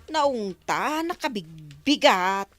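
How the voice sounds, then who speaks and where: A woman's voice: mid-range voice, sing-song, slow pace, music in the background. A woman with an Ilocano accent playing a wary, gossip-loving village councilwoman in a radio comedy drama. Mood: grumbling.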